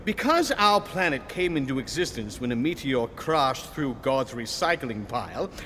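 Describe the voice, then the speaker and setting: A man's voice: medium pitch, big pitch swings, normal speed, a bit bright. A man voicing an impatient, sarcastic talking lightning, a wordy life force in a comic sci-fi audio play. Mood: explaining impatiently. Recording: long reverb, faint background noise.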